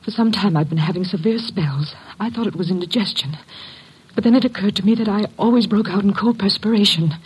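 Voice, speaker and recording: A frightened, suspicious wife in middle age in a classic radio mystery. Her voice is strikingly husky, and she speaks with grave, anxious drama. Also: mid-range voice, brisk, poor audio. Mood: fearful. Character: fearful, nervous middle-aged wife who suspects poisoning. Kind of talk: old-time radio drama acting.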